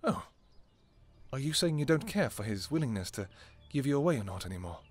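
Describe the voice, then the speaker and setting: A man's voice: mid-range voice, very animated, fairly quick. A man playing a devoted royal vampire king in a romantic fantasy roleplay, speaking formally and intimately to his lover. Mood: tender, devoted. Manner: formal, archaic, intimate phrasing.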